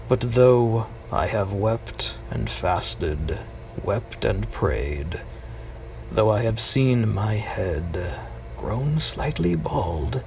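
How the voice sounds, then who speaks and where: A man reciting poetry dramatically, with deliberate phrasing, long pauses and hushed drops. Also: fairly low pitch, vocal fry. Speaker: amateur poetry reader. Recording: distant mic with faint noise.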